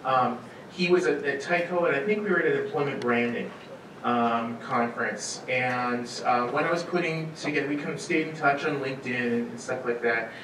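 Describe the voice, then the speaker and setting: A man's voice: mid-range voice, moderate pace. Middle aged guy doing a casual event intro, stop-and-start, echoey and kinda far from the mic. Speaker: event organizer introducing a speaker. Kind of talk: informal spoken introduction at a conference. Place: auditorium stage at a podium. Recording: echoey hall, distant, noisy room sound.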